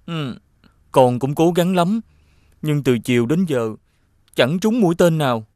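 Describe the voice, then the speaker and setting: A man voicing an earnest, determined young village archer and dutiful son in an animated fairy tale. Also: a little high, a little slow, no room sound, studio quality. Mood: frustrated, determined.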